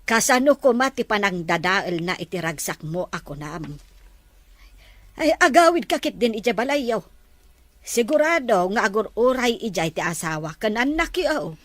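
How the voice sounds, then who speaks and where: A woman's voice: medium pitch, expressive, moderate pace, no room sound, close to an everyday voice. A woman playing a concerned, talkative middle-aged Filipino mother who chides and counsels in a family drama. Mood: concerned, counselling. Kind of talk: naturalistic drama dialogue.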